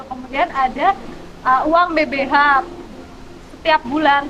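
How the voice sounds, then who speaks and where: A woman's voice: sits high, lo-fi sound. A young woman, a student guest, explaining things casually in an interview. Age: young adult. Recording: clearly noisy background.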